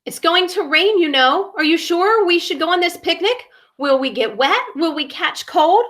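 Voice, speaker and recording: A fretful male frog from a children's storybook, acted out by a woman reader. The voice is high and bright, with an anxious, questioning delivery that worries over everything. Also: animated, normal speed. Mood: worried.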